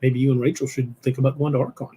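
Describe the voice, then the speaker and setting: A middle-aged man hosting a live podcast, rambling and laughing, his voice a bit hoarse from shouting. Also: mid-range voice, little pitch movement, rapid-fire, slight background noise. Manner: rambling, self-interrupting, laughs often. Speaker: podcast host. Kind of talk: casual livestream chat. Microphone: headphones with mic on a video call.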